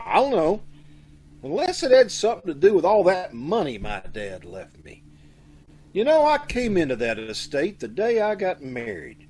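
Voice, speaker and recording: A man's voice: high-pitched, wildly swooping pitch, normal speed, a little mellow. An American man playing a cowardly, doting young bridegroom in an old-time radio comedy, bumbling and hesitant. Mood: flustered.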